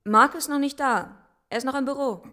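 A woman's voice: high voice, brisk, sharp tone. An actress playing a friendly, casual young woman who welcomes a guest in. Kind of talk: TV drama acting.

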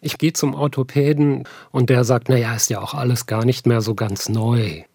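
A man in his fifties talks in a calm, measured way. His voice is mellow and a little husky, and it is recorded close in a dry studio. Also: mid-range voice, no room sound. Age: 50s. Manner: measured, thoughtful. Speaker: psychotherapist, interviewee. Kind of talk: calm personal reflection.

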